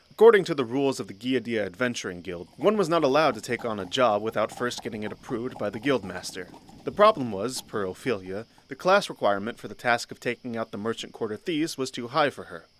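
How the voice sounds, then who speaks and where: A man with a low-pitched voice narrates a fantasy audio drama. He reads scripted prose as a storyteller, with music playing behind him. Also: fairly bright tone.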